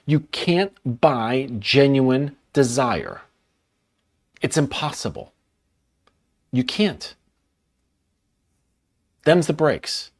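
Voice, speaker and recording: A man's voice: mid-range voice, animated, extremely slow, long pauses. Sarcastic man reacting to a clip. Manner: sarcastic, blunt, profane. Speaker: dating coach and channel host. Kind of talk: reaction commentary.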